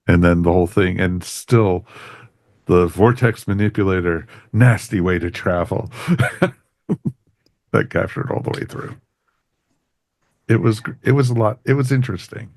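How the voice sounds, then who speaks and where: Low-voiced man chatting on a podcast. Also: a little slow, pauses often, darkish tone, no room sound, studio quality. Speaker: podcast co-host reviewing audio dramas. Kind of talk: casual review chat.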